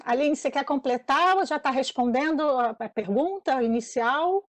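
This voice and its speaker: A woman's voice: high-pitched, lively intonation, moderate pace, no echo. A woman with a Brazilian accent moderating a debate in informal conversation, hesitant as she searches for her words.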